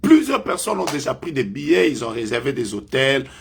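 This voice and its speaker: A French-speaking man leading a live online prayer as a prophet, making emphatic, repetitive declarations that suddenly rise loud. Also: medium pitch, big pitch swings, a little fast, creaky, slight background noise.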